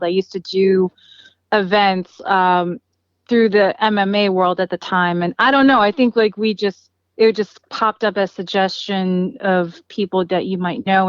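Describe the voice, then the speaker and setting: A woman's voice: mid-range voice, smooth, dry recording, muffled recording. Chatty woman peppering speech with "like". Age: 30s. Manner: chatty, lots of "like" and laughter. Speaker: guest, friend of the boxer. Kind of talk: casual interview.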